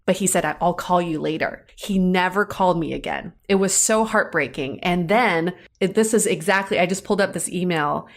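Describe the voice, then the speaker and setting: A woman's voice: on the low side, normal speed, creaky, few pauses. A woman podcast host in conversation, speaking candidly and narrating in a personal storytelling style.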